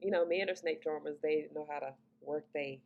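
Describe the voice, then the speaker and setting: A deep-voiced woman with a gravelly, fry-laced edge gives a loose, chatty tarot reading. She rambles, swears in passing and talks into Bluetooth earbuds. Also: fairly even intonation, fairly quick, narrow, tinny audio. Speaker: tarot reader. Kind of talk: casual spoken tarot reading.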